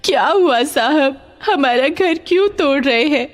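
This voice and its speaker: A woman voicing a distressed, caring orphan village girl in an animated moral story, pleading and emotional. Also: high-pitched, unhurried, soft background music, voice pushed up.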